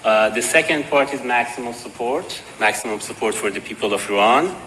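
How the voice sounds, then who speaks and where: A man with a Persian accent giving a conference presentation, measured and dotted with hesitations. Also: mid-range voice, pushed and bright. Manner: measured, with frequent uh fillers and restarts. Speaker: conference speaker. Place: conference hall. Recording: long hall reverb, faint noise.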